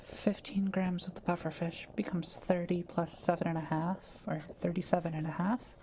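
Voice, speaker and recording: A woman playing a kind, quiet witch in an audio roleplay, speaking gently and carefully as she reassures and explains things to the listener. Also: on the low side, steady tone, normal speed, slight background noise, lightly acted. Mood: thoughtful, focused. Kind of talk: soft-spoken roleplay audio, talking to the listener.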